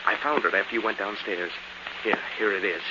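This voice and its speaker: A man's voice: on the low side, brisk, close to an everyday voice. An actor playing an earnest, protective man with an urgent, polite and clipped delivery in 1940s radio thriller style. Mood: hushed, urgent. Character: earnest, protective man warning a young woman of danger. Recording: dull, noisy old 1940s radio recording.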